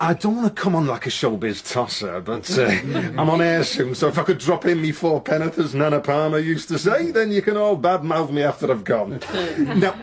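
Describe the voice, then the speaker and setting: An actor playing a brash, vain young British radio celebrity in a radio drama, showy, chummy and slangy. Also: male voice, fairly high pitch. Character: brash, vain male celebrity radio presenter, gushing and self-important. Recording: busy room chatter behind voice.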